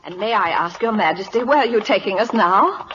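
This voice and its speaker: A woman's voice: mid-range voice, lively intonation, fairly quick, a bit bright, slight background noise, close to an everyday voice. An actress playing a spirited, proper, emphatic schoolteacher mother in an old-time radio drama. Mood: composed, wry. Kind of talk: 1940s radio drama acting. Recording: dull lo-fi old radio recording.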